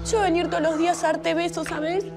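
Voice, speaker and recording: An actress with a Rioplatense accent playing a devoted wife who urgently begs her man to stay calm. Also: extremely high pitch, fast talker, over music. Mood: pleading. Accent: Rioplatense Spanish. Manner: pleading, urgent. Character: loving devoted wife, pleading and tearful. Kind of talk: drama acting.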